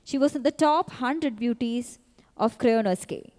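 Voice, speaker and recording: A woman announcer reading scripted introductions of pageant contestants in a measured, even way. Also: on the high side, faint music behind. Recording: long hall reverb.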